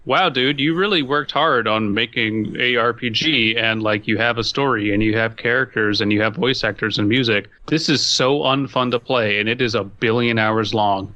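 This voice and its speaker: A man's voice: medium pitch, normal speed, few pauses, sharp tone, no echo, dull audio. A man co-hosting a podcast, ranting casually in an emphatic, exasperated, sweary way. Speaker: podcast co-host.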